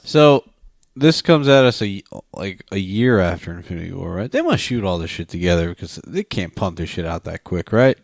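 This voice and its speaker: A man with a fairly low voice hosts a casual film chat show. He teases and swears freely as he talks. The sound is dry, with hardly any room on it. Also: big pitch swings, moderate pace, creaky, no room sound. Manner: profane, teasing. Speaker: podcast host. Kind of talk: casual movie review chat.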